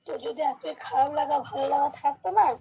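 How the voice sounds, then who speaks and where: A woman's voice: high voice, steady tone, fast talker, warm tone. A young woman speaking curtly and reproachfully in a casual conversation over a noisy phone call. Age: young adult. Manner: curt, reproachful.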